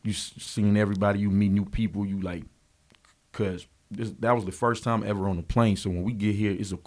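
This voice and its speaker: Laid-back Liberian-born man, lowish voice. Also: fairly even intonation, a little mellow. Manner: laid-back, halting, repeats words. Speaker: rapper guest. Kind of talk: casual interview chat.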